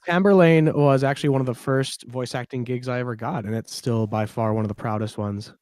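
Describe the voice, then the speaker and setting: Male voice actor guest, medium pitch, conversational, very dry sound. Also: steady tone, a little fast, darkish tone, dry recording. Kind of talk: casual chat.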